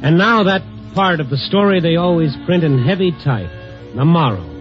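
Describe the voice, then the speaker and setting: An actor playing a world-weary newspaper reporter who narrates with dry wisecracks in a 1950s radio drama, on a dull old broadcast recording. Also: male voice, on the high side, expressive, normal speed, soft background music, close to an everyday voice. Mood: wry. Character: wry night-shift male reporter, world-weary.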